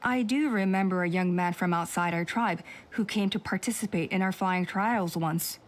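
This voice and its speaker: A woman voicing a calm, cautious woman elder of a tribe in a video game, speaking in a measured, cautioning way. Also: fairly low pitch, close to an everyday voice. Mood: recounting.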